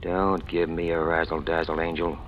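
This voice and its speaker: Man playing a low-voiced hard-boiled radio noir schemer. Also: level tone, moderate pace, a little hiss or hum, natural voice. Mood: needling. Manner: wisecracking, clipped tough-guy slang. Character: hard-boiled, scheming small-time crook narrating his own rise. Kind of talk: 1940s-50s radio noir acting. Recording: dull old radio recording, music behind.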